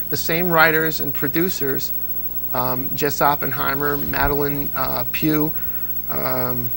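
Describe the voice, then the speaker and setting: A man with a gravelly, creaky edge to his voice talks casually as an interview guest. He explains and tells anecdotes with keen enthusiasm. There is faint noise behind him. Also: mid-range voice, bright, forceful tone, low-quality recording. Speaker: interview guest, old-time radio fan.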